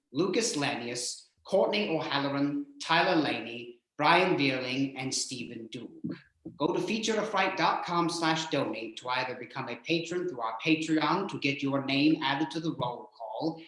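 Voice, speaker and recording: Very reverberant sound, man, fairly high voice, theatrical live host, jokey, halting phrasing. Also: a bit bright. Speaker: host of a horror radio-play stream. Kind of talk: jokey, hammy live show hosting. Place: indoors, remote video call stream. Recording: very echoey, boomy room sound.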